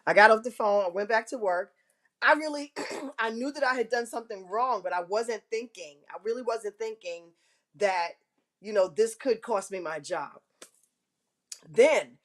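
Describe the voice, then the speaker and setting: A woman with a big voice that carries, talking straight to her viewers as host of her own live show. She tells her story frankly and pokes fun at herself, clearing her throat now and then, at a middling pitch. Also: normal speed, a bit bright. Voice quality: big, carrying voice. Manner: frank and self-mocking, with frequent throat clearing. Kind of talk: candid personal storytelling to viewers.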